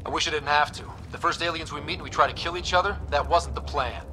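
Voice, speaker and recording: A man playing an earnest, measured young space explorer leading a squad in a video game. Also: fairly high pitch, background noise, natural voice. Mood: somber.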